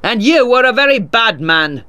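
Guy doing proud hot-tempered old dad in a storybook, ailing, slow and heavy on the words, close dry sound. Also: fairly high pitch, pushed and bright, no room sound. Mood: angry, defiant. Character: Mister Tulliver, proud hot-tempered father, ailing and weak. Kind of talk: audiobook character dialogue.

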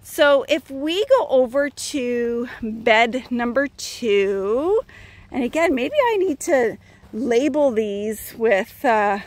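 Slow-talking woman touring her garden. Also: fairly high pitch, lively intonation. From Canada. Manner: chatty, thinking aloud, puzzled. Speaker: garden vlogger. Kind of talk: casual walkthrough narration. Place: outdoor community garden. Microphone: phone camera held while walking.

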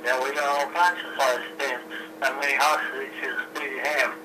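A man's voice: medium pitch, flat delivery, moderate pace. Man, store worker, customer call, curt, matter-of-fact, distant speakerphone, noisy line. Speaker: store employee taking a call. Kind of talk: customer service phone call.

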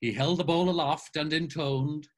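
An older actor reading a novel aloud dramatically over a video call, with expressive, theatrical narration. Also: male voice, fairly high pitch, normal speed. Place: home room, video call. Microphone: webcam on video call.

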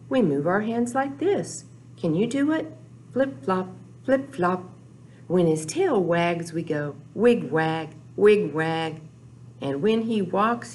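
Children's storytime host: older woman, unhurried and animated in pitch, simple repetitive phrasing aimed at little kids. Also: a little hiss or hum. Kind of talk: children's storytime with rhymes and sing-along. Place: small indoor room, recorded for video.

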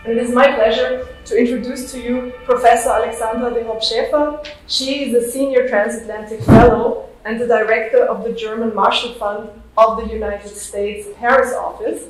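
A woman's voice: on the high side, steady tone, normal speed, hardly any pauses, a little hiss or hum. A woman hosting a lecture event, giving a formal introduction of the guest speaker. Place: lecture hall. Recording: echoey hall, distant mic.

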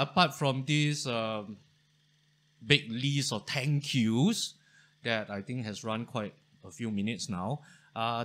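A man's voice: medium pitch, a bit bright. Man, 40s, chatty, lots of ums, close studio mic, home study. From Singapore. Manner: chatty, thankful, many fillers and restarts. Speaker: history channel host. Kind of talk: casual talk to camera.

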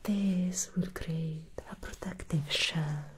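A woman voicing an alluring female demon hairstylist in a whispered ASMR roleplay, speaking in a breathy whisper close to the microphone with slow teasing hums between lines. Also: low-pitched, steady tone, slight background noise. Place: small dressed studio set indoors. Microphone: close sensitive microphone.

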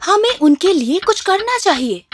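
Cartoon wife: high, animated voice, caring and sensible, light music underneath. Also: female voice, a little hiss or hum. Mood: caring, eager. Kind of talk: children's cartoon dub.

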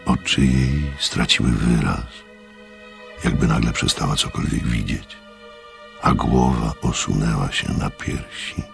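An actor playing an observant, sceptical gentleman who narrates a radio mystery in a reflective storytelling manner. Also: extremely low pitch, huge pitch swings, measured pace, gravelly, faint music behind, full character voice.

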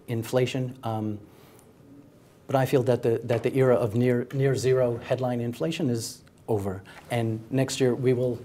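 A middle-aged man gives panel analysis as an economics guest. His voice is soft-edged and mellow, and he talks haltingly, doubling back on his words. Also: mid-range voice, a little hiss or hum. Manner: halting, repeats words as he goes. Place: TV news studio. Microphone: lapel mic.

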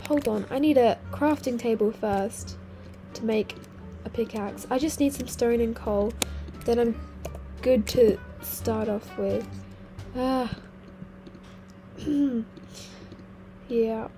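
A teenage girl with a slightly croaky, sick-sounding voice, chatting casually over her gameplay and exclaiming at things she finds. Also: on the high side, slow, long pauses. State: croaky voice from a cold. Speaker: gaming video host. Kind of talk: casual game commentary. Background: faint game music.

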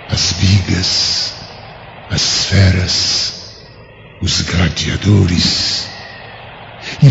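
A man's voice: sits low, vocal fry, heavily acted. An actor playing a florid Roman man in an audiobook drama, grandiose, with a Brazilian accent. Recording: dull lo-fi audio, background noise.

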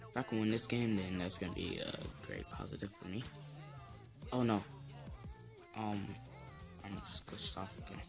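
A teenage boy with a deep voice giving casual gameplay commentary as channel host, rambling with many fillers and restarts. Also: normal speed, gravelly, pauses often, music in the background.

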